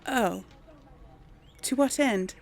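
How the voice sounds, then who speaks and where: Gamer lady as fierce young lady of the castle, fantasy game, slow creaky voice. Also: on the high side, pitched above the performer's own voice, exaggerated voice. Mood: coy, cool. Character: young, fierce, headstrong noble daughter. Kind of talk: role-played game dialogue.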